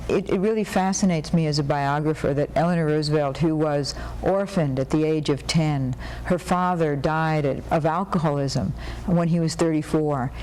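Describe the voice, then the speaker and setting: A woman biographer explaining her subject earnestly and passionately, pausing with frequent "um"s. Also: deep voice, a little mellow, slight background noise, rough recording. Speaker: biographer guest.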